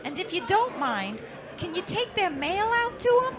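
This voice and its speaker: A woman's voice: very high-pitched, big pitch swings, moderate pace, a crowd in the background. A woman playing a blunt, gossipy small-town post office clerk with a folksy manner in an old-time radio drama. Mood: gossipy, cautioning.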